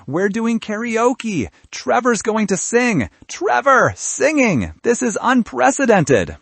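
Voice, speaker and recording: A man's voice: very high voice, sing-song, slow pace, creaky, fairly bright tone, breathy edge, no room sound, voice pushed up, heavily acted. A man voicing a drunken, boisterous male friend in an audiobook, exclaiming with overexcited enthusiasm. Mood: drunken excitement.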